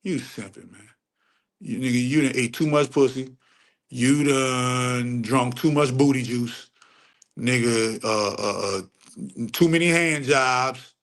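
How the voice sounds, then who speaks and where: A middle-aged man on a casual livestream rant, preachy and profane, repeating phrases for emphasis and climbing sharply in pitch when he gets heated. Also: slow. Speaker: livestream host answering chat.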